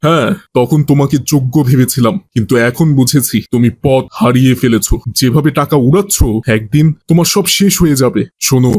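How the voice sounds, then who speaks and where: A man playing a stern, dignified father-in-law, an older wealthy patriarch, speaking gravely and deliberately in a moral cartoon. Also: medium pitch, darkish tone, slight background noise, lightly acted. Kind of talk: Bengali moral cartoon voice acting.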